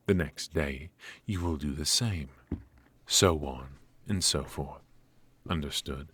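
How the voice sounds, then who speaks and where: Man acting as a very deep, imperious royal mage. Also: dry recording, exaggerated voice. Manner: formal, imperious, measured. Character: stern, aloof royal mage, commanding male mentor. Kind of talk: scripted ASMR fantasy roleplay.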